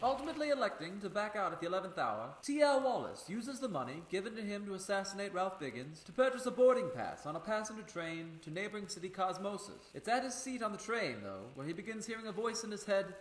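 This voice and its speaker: An actor playing the narrator of an old-time radio serial, reading scripted story in a theatrical way. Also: male voice, sits high, very animated, quick pace, few pauses, roomy sound, slight background noise, low-quality recording. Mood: dramatic.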